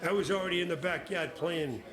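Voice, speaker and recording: A man, a podcast guest telling casual stories in a blunt, profane way with halting restarts. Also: on the high side. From Boston, Massachusetts. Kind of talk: casual storytelling. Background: music.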